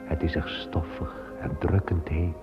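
Solemn angel guy played by a man, talks slow and measured, muffled old radio drama. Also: rumbling low, little pitch movement. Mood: narrating vision. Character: archangel Michael, calm solemn male angel guarding Eden. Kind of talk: radio play acting. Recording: dull old radio play recording with music.

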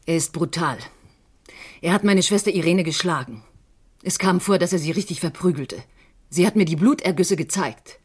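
A haunted woman in a radio crime play. Her voice is very low and husky. She confides with grave intensity, placing each phrase deliberately. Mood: grave, urgent. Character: troubled stage actress, grieving sister convinced of murder. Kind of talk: German radio crime drama acting.

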